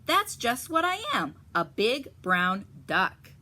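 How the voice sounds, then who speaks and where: A smug, sneaky storybook fox, played by a woman reading aloud. He struts through his lines with bright, swooping pitch and drawn-out words. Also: sing-song, full character voice. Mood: smug bluffing. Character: sly, strutting male fox posing as a duck.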